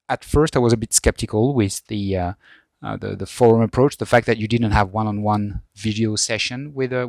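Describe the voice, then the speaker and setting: A man's voice: lowish voice, gravelly, a little mellow, no echo. A man in his thirties sharing feedback as a former student, talking with frequent ums and restarts. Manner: hesitant, many ums and restarts. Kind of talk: casual testimonial.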